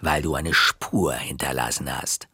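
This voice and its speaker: Man voicing a stern commanding librarian woman, low slow delivery, gravelly and husky, storybook reading. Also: pushed and bright, no echo, clean studio sound, exaggerated voice.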